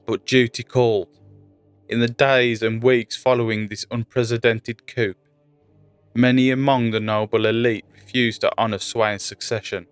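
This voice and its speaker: Male narrator for fantasy lore: low, measured, close and dry recording. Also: clean tone, dry recording. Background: faint music.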